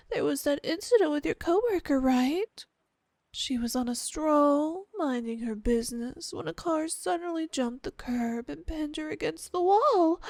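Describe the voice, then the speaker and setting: A woman playing a sweet, possessive, obsessed wife with quiet menace, cooing and coaxing in a roleplay ASMR style. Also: sits high, dry recording, clean studio sound. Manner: cooing, saccharine, coaxing, drawn-out delivery.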